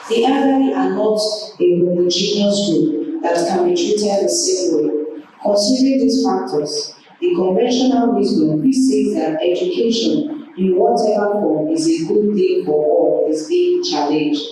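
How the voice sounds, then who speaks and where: A woman's voice: medium pitch, a little slow, dark tone, soft background music. A woman reading a text aloud in an echoey room. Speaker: read-aloud participant. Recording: echoey, distant, faint room noise.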